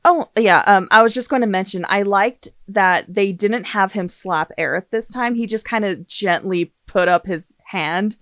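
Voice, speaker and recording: A woman's voice: medium pitch, moderate pace, hardly any pauses, fairly bright tone, no room sound, studio quality. A woman hosting a casual podcast discussion, speaking in an opinionated, emphatic way and swearing freely. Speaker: podcast host.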